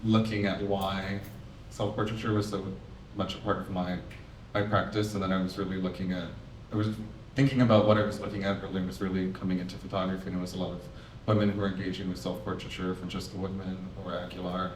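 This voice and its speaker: A man speaking as an artist on a gallery panel, nervous and halting with many fillers. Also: sits low, little pitch movement, slight background noise. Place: art gallery. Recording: echoey gallery, mic far off.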